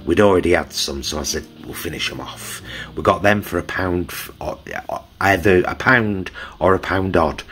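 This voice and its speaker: A man's voice: sits low, moderate pace, a bit bright, breathy edge. A middle-aged man with a Northern English accent, chatting casually and affectionately as he hosts a homely food vlog. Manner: affectionate, homely, addresses viewers as loves. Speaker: vlog host.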